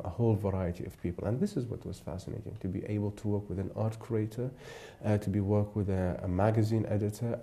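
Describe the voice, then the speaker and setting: Guy from iraq, deep mellow voice, thinks out loud, lots of uh and you know. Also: gravelly. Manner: thoughtful, halting, many fillers and restarts. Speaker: photographer and jury member. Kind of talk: reflective interview answers.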